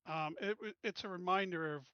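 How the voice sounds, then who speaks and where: A man's voice: high voice, a little fast, clear, resonant tone, dry recording, lo-fi sound. A man playing a character at a tabletop game, talking casually and a little hesitantly. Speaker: player.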